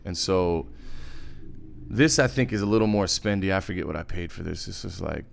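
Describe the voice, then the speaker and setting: A man giving a casual music software tutorial in a laid-back, slangy, rambling way, as host. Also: low voice, normal speed, vocal fry, slight background noise. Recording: loud music over the voice. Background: hip hop beat and synth playback.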